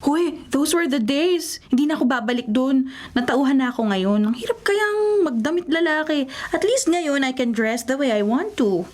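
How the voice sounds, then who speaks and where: A woman's voice: sits high, expressive, moderate pace, a little mellow. An actress with a Filipino accent playing a proud, defensive young woman in a radio drama, bantering and in denial about her feelings. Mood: defensive, vain. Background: music.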